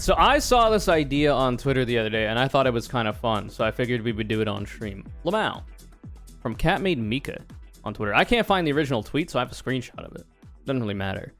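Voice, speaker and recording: A man in his twenties from the United States chatting casually on a livestream, reading questions aloud and answering with joking, sarcastic, rambling asides. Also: mid-range voice. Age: 20s.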